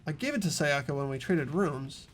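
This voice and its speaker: A man's voice: fairly high pitch, animated, normal speed, faint music behind, lightly acted. A man voicing an anxious, earnest teenage boy, the protagonist of a video game, narrating his thoughts dramatically. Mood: worried.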